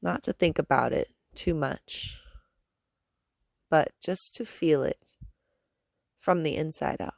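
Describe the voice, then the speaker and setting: Female meditation guide: calm and gentle, very unhurried, lowish pitch, long pauses between instructions. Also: vocal fry, no room sound, studio quality. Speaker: yoga and meditation teacher.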